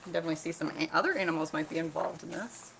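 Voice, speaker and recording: A woman reading a picture book aloud as a teacher, expressive and instructive, often pausing to put questions to listeners. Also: low-pitched, vocal fry, background noise. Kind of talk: read-aloud lesson for children with questions to listeners.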